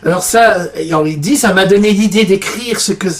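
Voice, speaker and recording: A man's voice: high-pitched, a little fast. A man co-hosting a radio music show, reading out an artist's career and chatting about it. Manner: reads facts aloud, then comments in an easy chatty way. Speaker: radio co-host.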